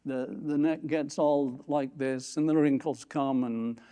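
A man preaching a sermon from a church platform, lifting his voice for scripture quotes and dropping low for quiet asides. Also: measured pace, clean tone. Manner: animated, rising pitch on scripture quotes. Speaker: pastor.

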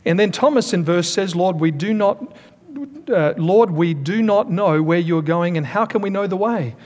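Man pastor preaching, kinda high voice, long echo from the hall, asks the crowd stuff. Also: darkish tone. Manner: preaching with emphatic pauses and calls to the congregation. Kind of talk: sermon.

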